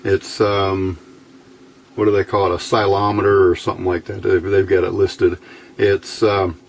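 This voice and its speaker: A man's voice: low voice, level tone, moderate pace, vocal fry. A man giving a casual hands-on demonstration as an electronics hobbyist, talking in a folksy, informal, rambling way.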